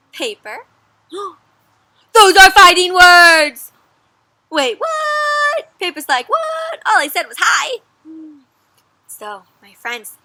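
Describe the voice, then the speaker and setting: A young woman reads a picture book aloud to children with lively, theatrical expression. Her voice is high and bright. The recording is dry and close, with little room sound. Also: no echo. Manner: expressive, asks children questions, gasps and dramatic pauses. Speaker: storyteller reading to children. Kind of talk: animated children's read-aloud.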